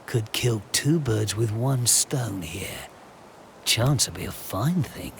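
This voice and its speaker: An actor playing a dry, determined Allied army captain in a game, thinking aloud in terse, understated lines with a gravelly voice. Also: male voice, lowish voice, expressive, slow, slight background noise, heavily acted. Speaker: game protagonist.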